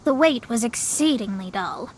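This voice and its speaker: A woman's voice: on the high side, animated, normal speed, slight background noise, lightly acted, rough recording. A woman voicing a proud young female astrologer in a video game, dramatic and formal, easily flustered. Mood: haughty, bored. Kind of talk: English video game voice acting. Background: game music.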